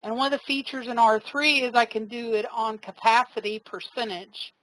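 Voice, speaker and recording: A woman trainer giving a casual, step-by-step live software demonstration, explaining each click as she goes. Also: fairly high pitch, steady tone, moderate pace, a little hiss or hum, poor audio. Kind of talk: live software demo walkthrough.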